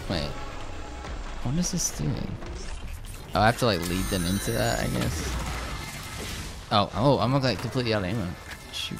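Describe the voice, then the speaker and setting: A young man, slightly hoarse, casually commenting over his gameplay, rambling with lots of fillers and restarts. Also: deep voice. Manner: rambling, hesitant, frequent filler words and restarts. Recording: game gunfire loud behind voice. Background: shooter game sounds and gunfire.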